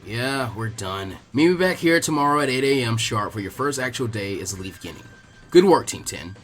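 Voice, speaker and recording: A man voicing a gruff, teasing adult ninja teacher with a laid-back, cocky, crude way of talking. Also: medium pitch, lively intonation, moderate pace, faint music behind, pitched below the performer's own voice, close to an everyday voice.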